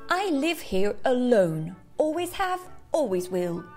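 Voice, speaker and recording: A woman's voice: on the high side, very animated, takes it slowly, darkish tone, soft background music, voice pushed up, heavily acted. A woman voicing a grumpy, stubborn, solitary little boy in a storybook, declaiming emphatically. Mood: stubborn, proud.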